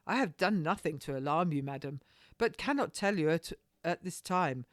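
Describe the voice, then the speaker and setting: A woman's voice: low-pitched, lively intonation, moderate pace, dry recording. A woman voicing a cocky, evasive male captain from a period storybook, with flowery formal speech. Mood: firm, evasive.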